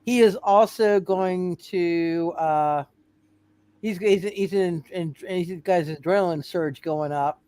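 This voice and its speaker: A game master running a live tabletop superhero roleplay, speaking haltingly and often repeating words and phrases. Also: mid-range voice, mellow, clear, resonant tone.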